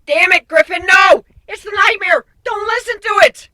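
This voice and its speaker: Blunt, desperate nightmare warrior, male actor: urgent, high, sharp, exclaiming, fantasy story. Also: normal speed, heavily acted. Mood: desperate shouting.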